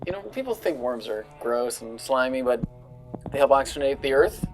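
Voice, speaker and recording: Tabletop player talking over clear background music. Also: mid-range voice, lively intonation, moderate pace. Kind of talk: casual in-character game banter.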